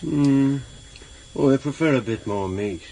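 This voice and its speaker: A man's voice: medium pitch, lively intonation, a little slow, gravelly, mellow. An actor playing a surly, unbookish henchman in a vintage radio thriller, blunt and offhand. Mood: leering. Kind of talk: vintage radio drama acting. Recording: slightly noisy vintage radio recording.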